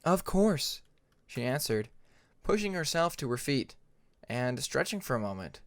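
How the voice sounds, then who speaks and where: A man's voice: mid-range voice, very animated, normal speed, fairly bright tone, no echo. Man narrating a story, close dry sound. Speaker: amateur fan fiction narrator. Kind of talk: scripted audiobook narration.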